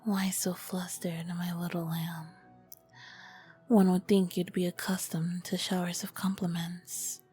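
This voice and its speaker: A woman playing an ancient, charming, flirty vampire queen in a whispered ASMR roleplay, with elegant, formal diction and a soft breathy voice. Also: lowish voice, level tone, unhurried, soft background music. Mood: flirty, teasing.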